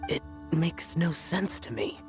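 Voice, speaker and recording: Aloof, solemn young immortal warrior. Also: male voice, a little high, expressive, takes it slowly, faint music behind. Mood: cool, detached. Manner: terse, solemn. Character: aloof, brooding youthful immortal demon slayer, terse and stern. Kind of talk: video game voice acting.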